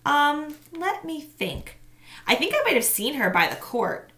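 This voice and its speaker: A woman's voice: high-pitched, big pitch swings, measured pace, pushed and bright, echoey room, pitched above the performer's own voice, exaggerated voice. A woman voicing a nervous, evasive schoolboy.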